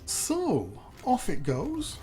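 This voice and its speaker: Man, slightly hoarse, casual game commentary, chatty and self-mocking, faint music behind. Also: extremely high pitch, huge pitch swings, drawn-out words. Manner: thinking aloud, chatty and self-deprecating. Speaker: let's play host.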